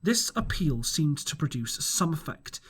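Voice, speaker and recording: A man's voice: a little high, very animated, unhurried, slightly rough. A man reading a classic adventure novel aloud as an audiobook, narrating expressively in first person. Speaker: audiobook reader. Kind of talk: storybook narration.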